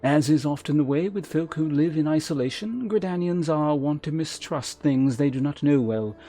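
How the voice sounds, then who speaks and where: Man voicing a courteous, formal fantasy innkeeper, measured. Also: on the high side, dark tone, soft background music, pitched above the performer's own voice. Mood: courteous, welcoming. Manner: measured, formal, archaic wording. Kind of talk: read-aloud game character dialogue.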